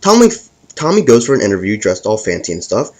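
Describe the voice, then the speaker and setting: A man's voice: lowish voice, huge pitch swings, quick pace. A man hosting a scripted comic story, narrating it with stumbles and repeated words as he reads. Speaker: host and storyteller.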